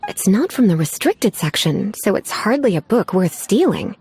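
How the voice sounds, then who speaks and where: A woman's voice: mid-range voice, sing-song, normal speed, vocal fry, darkish tone, slightly rough, heavily acted. An actress playing a confident, teasing young female mage librarian in a video game, with a coy, poised manner. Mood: coy, intrigued. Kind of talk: video game character acting. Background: game music.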